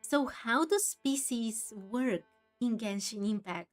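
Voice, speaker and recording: A woman's voice: a little high, takes it slowly, faint music behind. A woman hosting a game playthrough, musing aloud and adding exclamatory asides as she goes. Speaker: streamer, game playthrough host. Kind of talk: gaming commentary mixed with reading dialogue.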